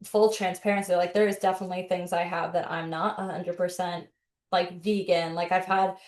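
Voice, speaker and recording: A woman's voice: on the low side, flat delivery, a little fast, a little mellow. A woman hosting a podcast, chatting casually and agreeably, with frequent "like" and "yeah". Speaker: podcast host. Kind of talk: casual interview chat. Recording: roomy echo.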